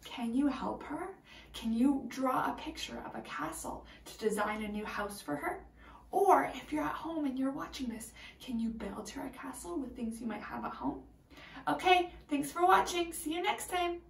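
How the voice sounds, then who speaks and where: A woman's voice: high-pitched, expressive, normal speed, gravelly, echoey room, rough recording. A woman teacher reading a storybook aloud expressively and prompting young listeners with questions.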